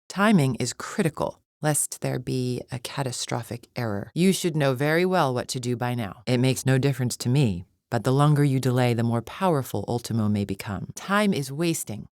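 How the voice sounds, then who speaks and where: A proud female alien gladiator for a video game. Her voice sits low, with formal, scornful diction and an impatient, superior temper. The sound is dry and close, free of room echo. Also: animated, normal speed, hardly any pauses, a little mellow. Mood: scornful impatience. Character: haughty, impatient alien warrior woman, proud arena champion. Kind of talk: video game character acting.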